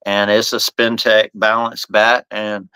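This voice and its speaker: A man with a bright, forceful tone and a smooth, crisp voice hosts a casual live podcast. He talks in loose, rambling runs, stumbling and restarting phrases as he goes. The sound is close and dry. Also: fairly low pitch, monotone, slow, dry recording, rough recording. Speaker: podcast host and bat company owner.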